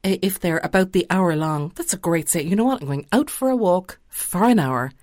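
A woman's voice: on the low side, expressive, fairly quick, a little mellow, no room sound, clean studio sound. An Irish woman chatting enthusiastically as a radio interviewer.

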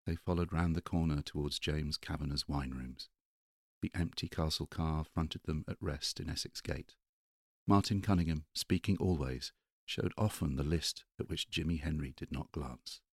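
Very deep man reading literary fiction. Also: normal speed, breathy edge, no room sound, clean studio sound. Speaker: audiobook reader. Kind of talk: literary narration.

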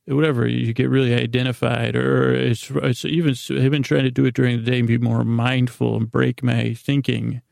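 A man hosting a bedtime podcast in a meandering, halting monologue full of tangents, with a gravelly, creaky voice. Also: medium pitch, flat delivery, darkish tone, no room sound, clean studio sound. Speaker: podcast host.

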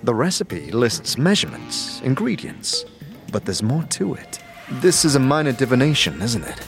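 A man playing an earnest, inquisitive wanderer in a fantasy audio drama, speaking in a measured, thoughtful, formal way. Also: medium pitch, big pitch swings, music in the background. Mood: puzzled. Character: earnest, inquisitive male wanderer seeking to learn magic.

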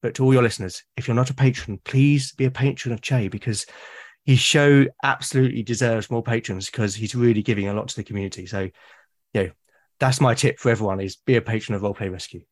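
A man's voice: mid-range voice, fast. A British man, a podcast guest, talking in a reflective, casual way and often restarting and repeating words mid-sentence. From Britain.

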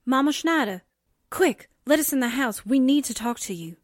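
A woman playing a high-pitched, earnest young girl. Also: expressive, normal speed. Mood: urgent. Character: earnest, pleading little girl, friend of the family. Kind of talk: 1940s radio drama acting.

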